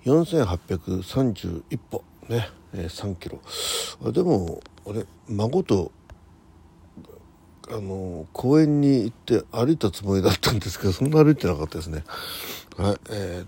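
Male host, 60s, deep mellow voice, rambling informal morning chat with muttered asides. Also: expressive, husky. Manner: halting, rambling, muttering to himself and correcting himself. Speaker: host of a morning audio diary show. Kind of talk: casual solo radio chat.

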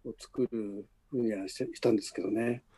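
A man's voice: lowish voice, steady tone, fairly quick, warm tone, breathy edge, no echo. A man hosting a casual behind-the-scenes chat, speaking in a conversational, encouraging way. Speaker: podcast host, radio drama producer.

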